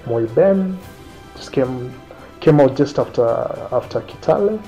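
A man's voice: mid-range voice, mellow. A man in his thirties with a Kenyan accent, telling his own story conversationally in a seated interview indoors. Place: small quiet indoor room, seated. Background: faint music.

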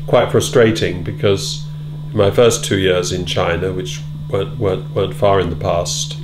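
A middle-aged man being interviewed in a wood-panelled room, answering in a measured, thoughtful way with many ums and repeated words. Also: deep voice. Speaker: interviewee, former British Council officer. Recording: loud hum behind.

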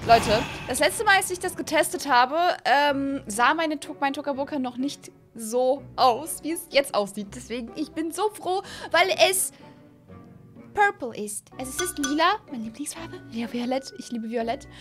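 A woman in her twenties doing excitable, gushing let's-play commentary for viewers, sprinkling in English words, recorded on a close desk microphone in a small room. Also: sits high, moderate pace. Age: 20s. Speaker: host of a gaming channel. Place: small home gaming room, indoors. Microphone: close desk microphone on an arm, with headset. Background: background music.

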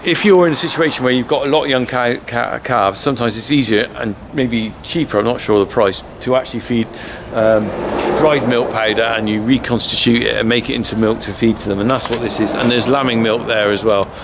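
Man in his fifties, chatty and curious, handheld camera mic, warehouse noise clearly audible. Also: mid-range voice, fairly even intonation, a little fast, hardly any pauses. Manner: chatty, curious, asks lots of questions. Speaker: farmer and vlogger touring a store. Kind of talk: casual chat. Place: large indoor warehouse with shelving. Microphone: camera mic, handheld close-up.

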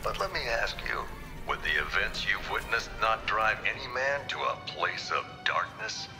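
Low, solemn tour narrator, reverberant. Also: male voice, big pitch swings, moderate pace. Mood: portentous. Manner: solemn, portentous. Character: grave male journalist narrating a museum tour. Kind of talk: dramatic scripted exhibit narration. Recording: reverberant exhibit speakers with music. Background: music.

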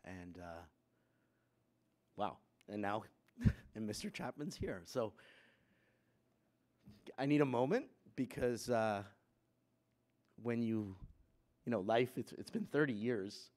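A man's voice: on the low side, expressive, slow pace, long pauses, a little mellow. A man in his fifties from Puerto Rico gives a personal talk to students at a chapel lectern, conversational with many fillers and growing emphatic. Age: 50s. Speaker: chapel speaker. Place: wood-panelled school chapel, students seated behind. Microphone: gooseneck lectern mic.

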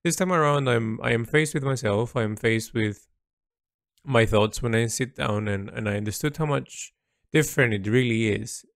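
A man speaking a reflective monologue as an artist's audio log, halting and introspective as he searches for words. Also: mid-range voice, no room sound, clean studio sound.

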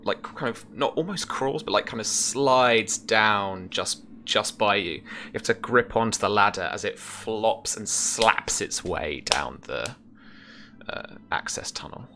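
A young man working as game master, narrating a tabletop scene in a casual, halting, descriptive way. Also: on the low side, lively intonation, bright, forceful tone, a little hiss or hum. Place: indoor room, remote session. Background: music.

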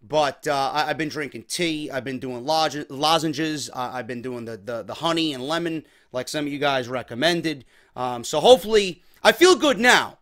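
Young New man hosting a home wrestling talk show, a bit hoarse from overuse, higher-pitched, energetic and chatty. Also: normal speed, hardly any pauses. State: slightly worn voice. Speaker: podcast host. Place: small home room. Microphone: desk mic on boom arm.

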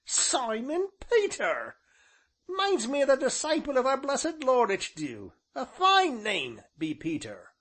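A man's voice: very high-pitched, wildly swooping pitch, takes it slowly, a bit bright, pitched above the performer's own voice, exaggerated voice. A man voicing a talkative, chuckling old rustic grandfather with an English rural dialect who repeats himself. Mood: delighted.

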